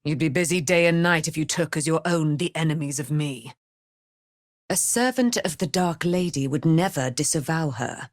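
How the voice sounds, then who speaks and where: A woman's voice: low-pitched, normal speed, no echo, clean studio sound, lightly acted. A woman playing a proud, righteous immortal warrior, daughter of a moon goddess, with grand, archaic, declamatory diction in a fantasy video game. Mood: solemn, stately.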